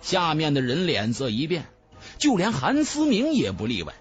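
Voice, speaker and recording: A man's voice: a little high, wildly swooping pitch, moderate pace. A man narrating a fantasy novel as a dramatic scripted audiobook. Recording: music bed under the voice.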